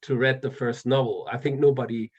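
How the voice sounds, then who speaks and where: A man with a German accent talks in a relaxed interview, and he often stumbles over his words and restarts. His voice sits at a middle pitch. The audio comes through dull and slightly muffled. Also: brisk, breathy edge. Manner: hesitant, many restarts and fillers, laughs often. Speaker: author guest. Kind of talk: casual interview chat.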